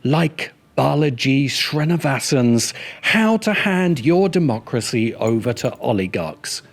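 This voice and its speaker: A man's voice: medium pitch, sing-song, slow pace, gravelly. A man narrating a scripted video essay as its host, with dry, sardonic asides.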